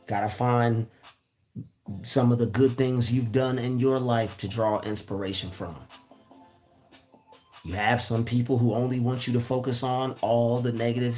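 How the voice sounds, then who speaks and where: A man with a raspy voice giving a casual, preachy motivational talk, repeating his points. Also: medium pitch, darkish tone. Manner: repeats phrases, preachy and earnest. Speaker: motivational vlogger.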